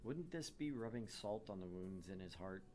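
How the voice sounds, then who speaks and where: A man's voice: on the low side, expressive, moderate pace, loud music behind the voice. A man narrating a visual novel as a gaming host, with irreverent asides.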